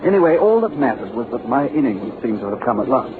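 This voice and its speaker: A man plays an earnest young English clerk in a vintage radio play, talkative and polite. His mid-range voice is animated in pitch. It comes through a thin, phone-like band with noticeable hiss. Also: fast talker, close to an everyday voice. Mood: pleased. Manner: chatty, polite, slangy. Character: Hall Pycroft, chatty, earnest young London clerk. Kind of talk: old-time radio drama acting. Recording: tinny old radio recording with hiss.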